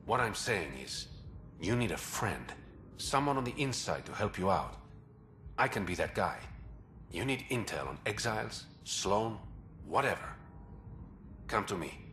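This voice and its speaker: An actor playing a secretive man who brokers information in a port, confiding and smooth. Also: lowish voice, expressive, measured pace, pauses often, fairly bright tone, a little hiss or hum. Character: shadowy male underworld information broker.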